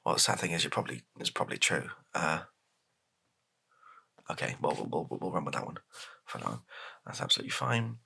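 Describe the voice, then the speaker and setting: A man playing a flustered, placating political advisor in an improvised ASMR comedy roleplay, speaking softly in a hesitant, stammering, deadpan way. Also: deep voice, brisk, long pauses, pitched above the performer's own voice, exaggerated voice. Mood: deadpan, resigned. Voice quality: soft-spoken, gravelly, breathy. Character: flustered, placating male political spin doctor advising his boss.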